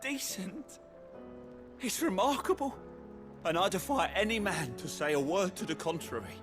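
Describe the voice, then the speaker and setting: An actor playing a florid, excitable male gourmand who declaims pompously in a fantasy video game. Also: sits high, moderate pace, music in the background. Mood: indignant, grandiose. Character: grandiloquent, excitable male gourmand, theatrically enraptured by food.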